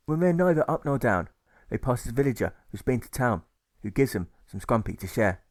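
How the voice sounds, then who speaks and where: A man's voice: mid-range voice, sing-song, a little fast, no echo, studio quality. A man reading a rhyming novel aloud as scripted narration, rhythmic and emphatic on the rhymes. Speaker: author reading his own audiobook.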